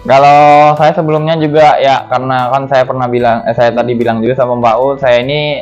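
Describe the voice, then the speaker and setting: A man from Jambi speaking as an interview guest, halting and filling gaps with "eh" while politely addressing his host. His voice sits at an ordinary pitch. The recording is dull and lo-fi, with faint music underneath. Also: little pitch movement, a little mellow. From Jambi, Indonesia. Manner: halting, fills with "eh" and keeps addressing the host as "Bang". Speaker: pigeon racing jockey, guest. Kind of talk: casual interview chat. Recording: dull, lo-fi sound.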